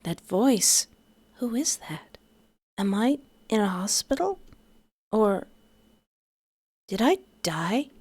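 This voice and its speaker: A woman's voice: medium pitch, big pitch swings, slow, long pauses, darkish tone, slightly rough, no echo. A young woman reading a visual novel aloud and breaking in with playful, joking asides. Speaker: game streamer.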